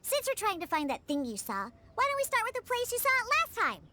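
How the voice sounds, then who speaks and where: A woman voicing a lively, cheerful and eager little girl character in an anime video game. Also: very high voice, fast, a little hiss or hum, exaggerated voice. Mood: chatty.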